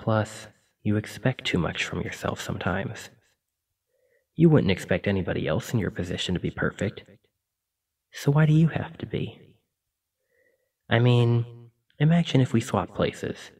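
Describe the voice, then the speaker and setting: A man playing a kindly, apologetic sleep paralysis demon in an ASMR roleplay, speaking in a gentle, reassuring, informal way. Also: fairly low pitch, lively intonation, a little slow, creaky, long pauses, breathy edge, distant mic, exaggerated voice.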